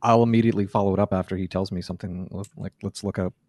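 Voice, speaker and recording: Male co-host, low voice, halting casual talk, dry sound. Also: steady tone, darkish tone, dry recording. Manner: hesitant, many fillers and restarts. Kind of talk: casual chat.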